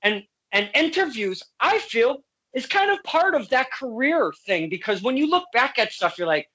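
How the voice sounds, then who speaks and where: A man's voice: very high-pitched, sing-song, moderate pace, bright, forceful tone, husky, rough recording. A man from Connecticut hosting a casual interview podcast, boisterous and playful, swearing freely. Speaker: podcast host.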